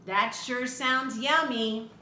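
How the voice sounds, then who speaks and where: A woman's voice: a little high, fairly even intonation, slow, sharp tone. A woman voicing an eager, hungry, polite little boy in a children's storybook. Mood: dreamy longing. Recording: echoey room with faint background noise.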